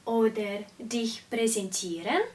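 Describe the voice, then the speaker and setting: Slow high-voiced teacher. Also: female voice, fairly even intonation, darkish tone, recorded from a distance. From Russia. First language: Russian. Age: 20s. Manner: slow, carefully articulated teaching delivery. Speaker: German teacher. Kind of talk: beginner language lesson.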